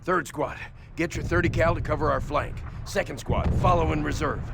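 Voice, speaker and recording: A hard-driving army sergeant in a war game, his voice pitched high for a man. He snaps out gruff, impatient commands to his squad, with loud gunfire and engine noise all around him. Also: fairly even intonation, moderate pace. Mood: commanding, tense. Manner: barking, commanding orders. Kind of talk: military video game acting.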